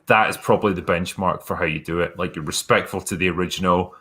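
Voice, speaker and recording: A man's voice: deep voice, fast, breathy edge. A man hosting a casual podcast chat, reading out viewer questions. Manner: relaxed, reads viewer questions aloud. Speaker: host.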